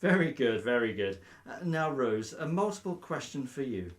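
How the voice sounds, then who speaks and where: A male actor playing a breezy, nosy, officious vicar in a radio comedy, briskly polite and openly biased. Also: mid-range voice, sing-song, lightly acted. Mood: smoothly patronising. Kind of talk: radio play comedy acting.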